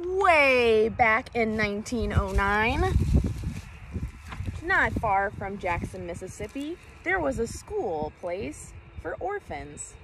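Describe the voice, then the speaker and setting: Young female storytime reader, animated intonation at a slow pace, recorded outside for a children's book read-aloud. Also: a little high. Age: young adult. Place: outdoors in woods by a creek. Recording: clear outdoor background noise, clicks.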